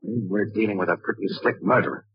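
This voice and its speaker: A man's voice: fairly low pitch, a little fast, breathy edge, no echo. An American man acting a shrewd, wry newspaper photographer turned sleuth in a 1940s radio crime drama. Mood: probing. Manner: hard-boiled, wry, clipped. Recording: restored old radio, muffled and dry.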